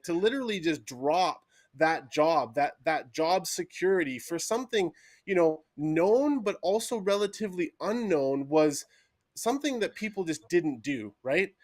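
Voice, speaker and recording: A man's voice: on the high side, dry recording, clean studio sound. A man giving a casual, filler-heavy motivational testimony on a live stream, often saying "like". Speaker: team member sharing his story.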